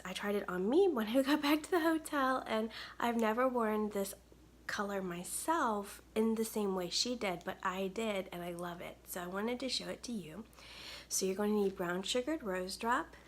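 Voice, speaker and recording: A woman in her thirties with a soft, weak, breathy voice, chatting casually through a makeup tutorial at home to a close webcam. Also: mid-range voice, slight background noise. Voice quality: gravelly, breathy. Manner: soft-spoken, chatty, many ums. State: weak, tired voice. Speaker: makeup brand owner. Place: home studio, indoors.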